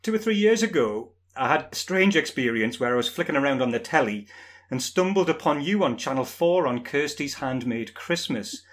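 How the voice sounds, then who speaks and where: A man from northern England with a northern English accent, hosting a podcast in a friendly, playful way. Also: medium pitch. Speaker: podcast host. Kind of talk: casual chat.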